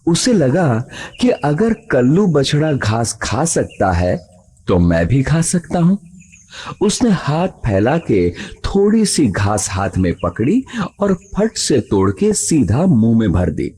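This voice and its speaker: Slow, animated male storyteller. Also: medium pitch, breathy edge. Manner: expressive, dramatic. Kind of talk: scripted children's story narration. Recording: music behind the voice.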